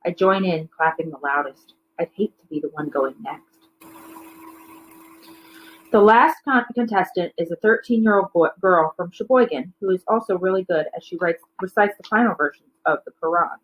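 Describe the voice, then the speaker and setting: A woman's voice: on the low side, normal speed, highs cut off. A woman narrating a children's novel aloud like a teacher, occasionally stumbling over words and correcting herself. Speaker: teacher reading a book aloud.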